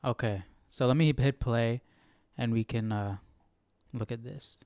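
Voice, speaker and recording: A man's voice: medium pitch, measured pace, a little mellow, clean tone, no echo, studio quality. A young man hosting a casual livestream study, thinking aloud and repeating phrases as he goes. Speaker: Bible study livestream host. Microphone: close handheld or desk microphone with headphones.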